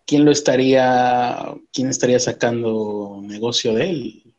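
A man's voice: mid-range voice, fairly even intonation, measured pace, darkish tone, poor audio. A man, chatting casually, asking questions and joking lightly. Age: 30s. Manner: conversational, asks questions, jokes lightly. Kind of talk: casual chat.

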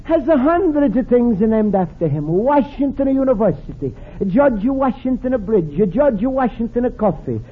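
A man's voice: extremely high pitch, big pitch swings, unhurried, hardly any pauses, phone-quality sound, a little hiss or hum, exaggerated voice. An actor playing a sweet, naive Italian immigrant man with an Italian accent and broken English, in an old radio comedy. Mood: wistful. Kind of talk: 1950s radio comedy acting. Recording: old radio broadcast, studio audience.

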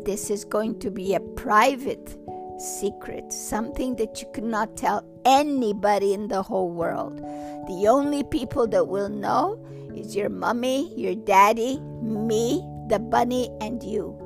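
A woman voicing a gentle, kindly man who speaks to a child in a hushed, patient way. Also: fairly high pitch, animated, slow pace, creaky, music in the background, exaggerated voice. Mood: confiding.

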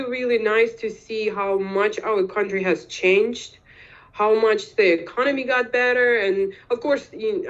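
Young woman from ukraine, lots of ums, kinda muffled lo-fi audio. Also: medium pitch. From Eastern Ukraine. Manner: hesitant, many fillers and pauses. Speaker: guest. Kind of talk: conversational interview.

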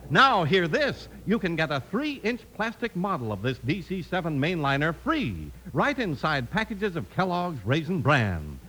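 A man's voice: on the high side, sing-song, unhurried, few pauses, bright, forceful tone, slightly rough. A man with an American accent announcing in the style of a 1950s radio show. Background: music.

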